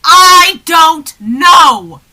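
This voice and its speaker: Young woman playing a high, exasperated comic sidekick. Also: expressive, extremely slow, sharp tone, full character voice. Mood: exasperated, loud. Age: young adult. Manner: insistent, exasperated questioning. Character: exasperated, confused man in a comedy double act. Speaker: student radio play performer. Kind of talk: comic double-act stage patter.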